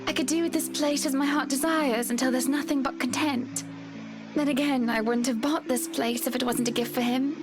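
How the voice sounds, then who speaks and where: A woman voicing a polite, wistful, quietly discontented wife in a visual novel game. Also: high-pitched. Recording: game voice over background music.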